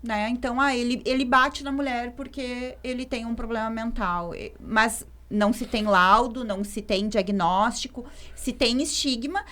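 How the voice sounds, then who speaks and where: A woman's voice: on the high side, moderate pace, few pauses, fairly bright tone. A woman psychologist, a guest on a podcast, talking things through with many fillers. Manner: thoughtful, many fillers like "né" and "assim". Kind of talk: podcast discussion.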